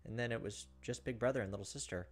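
A man's voice: mid-range voice, quick pace. A man, reading visual novel text aloud as a gaming host, with casual asides. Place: indoors, recording at a computer. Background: faint game music.

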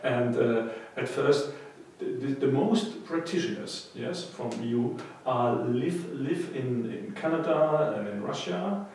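A man's voice: medium pitch, takes it slowly, few pauses, darkish tone. A middle-aged man puts hesitant, repetitive questions to a guest. He sounds far from the mic, in a very echoey room. A faint hiss sits under his voice. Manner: hesitant, repeats words, brief backchannels. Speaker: interviewer. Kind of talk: interview questions. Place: bright office room, indoors.

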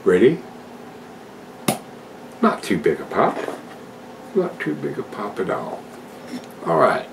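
A man's voice: mid-range voice, wildly swooping pitch, slow, gravelly, pauses often, noisy background. An older man at home casually reviewing a beer, chatting to his viewers in a folksy way. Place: home room with a desk and plants, indoors.

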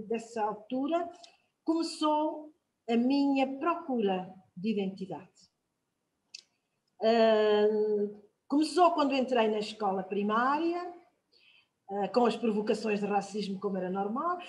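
An older woman from São Tomé and Príncipe with a European Portuguese accent, speaking on a video call panel, reflective and measured with long "ah" pauses. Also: high-pitched, a little mellow, slight background noise. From São Tomé and Príncipe, raised in Portugal. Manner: reflective, measured, with drawn-out "ah" fillers. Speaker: guest writer. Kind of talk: panel talk, personal account. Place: home room.

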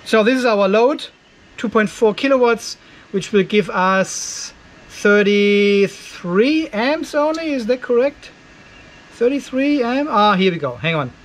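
A man's voice: sits high, animated, takes it slowly, a little hiss or hum. A man in his fifties giving a casual hands-on tech demonstration, conversational and thinking aloud as he works. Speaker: host of an off-grid solar video channel. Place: indoor garage workshop.

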